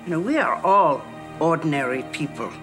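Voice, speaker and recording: An actress playing an elderly, humble woman who sheltered refugees in wartime, speaking with an Austrian German accent in a soft, earnest, measured way. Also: deep voice, lively intonation, soft background music. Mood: tender. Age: older. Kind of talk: film drama.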